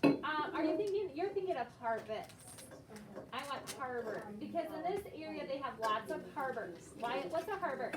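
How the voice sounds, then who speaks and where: High-voiced American woman teaching in echoey room. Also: expressive, moderate pace. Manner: simple explanations with prompting questions and brief praise. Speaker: elementary school teacher. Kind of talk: interactive classroom teaching, questioning pupils. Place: classroom. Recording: echoey, noisy room, distant mic.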